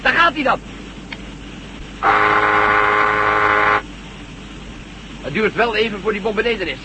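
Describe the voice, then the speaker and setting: High-voiced, cocky pilot. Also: male voice, very animated, rapid-fire. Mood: tense. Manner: wry, brash, emphatic. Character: cocky, sardonic male jet pilot. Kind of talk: Dutch science-fiction radio play acting. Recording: noisy old radio play, aircraft drone.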